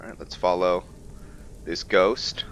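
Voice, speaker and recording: A man's voice: sits low, fairly even intonation, a little slow, highs cut off, slight background noise. A man hosting a gaming video, giving casual let's-play commentary as he plays.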